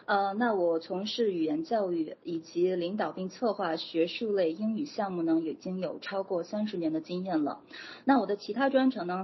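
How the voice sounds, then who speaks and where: A woman with a fairly low voice interprets each part of the talk in turn. She speaks steadily and pauses often with fillers. The recording is dull and lo-fi, with a faint hiss behind her. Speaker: interpreter and academic advisor. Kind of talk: consecutive interpreting. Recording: dull lo-fi audio with faint noise.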